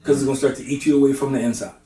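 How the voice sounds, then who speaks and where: A man's voice: medium pitch, steady tone, very fast, dark tone. A middle-aged man in a candid group discussion, giving earnest, emphatic advice. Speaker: discussion participant. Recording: roomy, distant mic.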